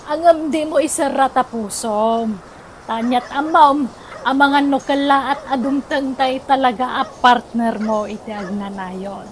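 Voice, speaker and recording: A woman's voice: fairly high pitch, a little hiss or hum. A woman with a Filipino Ilocano accent hosting and narrating a radio drama in a dramatized storytelling style.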